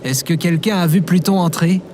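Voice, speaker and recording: A man's voice: a little high, steady tone, normal speed, mellow, a little hiss or hum, lightly acted. A man playing a reluctant, easily flustered human leader in a comedic audio drama. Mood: bewildered.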